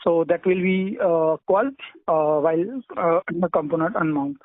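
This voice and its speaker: A man with an Indian accent answering technical interview questions over the phone, hesitant and halting, often clearing his throat. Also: a little high. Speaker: job candidate being interviewed. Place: phone call. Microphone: telephone. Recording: tinny narrowband telephone line.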